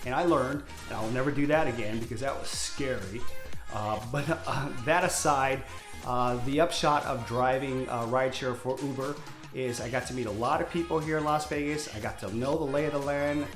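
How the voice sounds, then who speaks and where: A man's voice: medium pitch, moderate pace, reverberant, music in the background. A man in his fifties from Hawaii, introducing himself to camera as a real estate agent in a candid, upbeat way. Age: 50s. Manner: candid, talkative, upbeat salesman. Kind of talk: direct-to-camera self-introduction.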